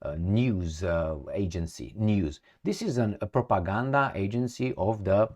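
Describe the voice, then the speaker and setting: A man's voice: on the low side, a little slow, darkish tone. A middle-aged man from Romania with a Romanian accent, ranting as a political commentator, sarcastic and profane and full of rhetorical questions.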